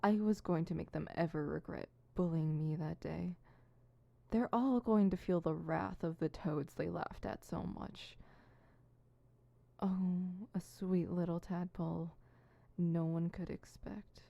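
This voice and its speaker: A woman's voice: lowish voice, unhurried, creaky, mellow, no echo, lo-fi sound. A woman playing an insecure, theatrical supervillain rehearsing monologues in an ASMR roleplay, switching between fumbling mutters and pompous recital. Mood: grandiose, brooding. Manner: fumbling self-conscious muttering broken by pompous verse recital. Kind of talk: ASMR roleplay, scripted solo audio drama.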